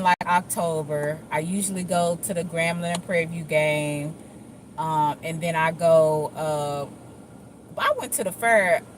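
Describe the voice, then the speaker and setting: A middle-aged woman with a Dallas accent, chatting in a rambling, laid-back way with lots of fillers and restarts. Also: fairly low pitch. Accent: Dallas, Texas. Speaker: vlogger. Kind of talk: casual chat while driving. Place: car. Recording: faint vehicle noise.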